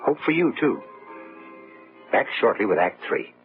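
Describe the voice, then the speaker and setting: A man's voice: on the low side, big pitch swings, a little slow. A man hosting a radio drama, narrating in an intimate, wry, theatrical way. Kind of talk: confiding scripted narration. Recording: tinny old radio broadcast. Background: music.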